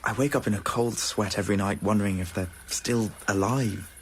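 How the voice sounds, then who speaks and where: An actor playing a troubled, stubbornly determined young gentleman in a radio drama, speaking in earnest, educated phrases. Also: lowish voice, fairly quick, lightly acted. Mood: anguished. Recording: faintly noisy old radio recording.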